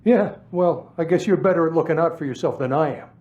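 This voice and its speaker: An actor playing a self-pitying, demoted police desk sergeant in a video game, aggrieved and defensive. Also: male voice, fairly high pitch, brisk, darkish tone, muffled recording, lightly acted. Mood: weary, resentful. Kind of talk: cinematic game dialogue.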